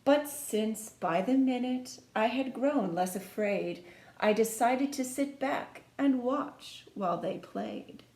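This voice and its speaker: A woman reading a children's storybook aloud in an expressive, sing-song storytime manner, speaking to young listeners like a teacher. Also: a little high, takes it slowly. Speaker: music teacher reading a picture book to children. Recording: echoey room.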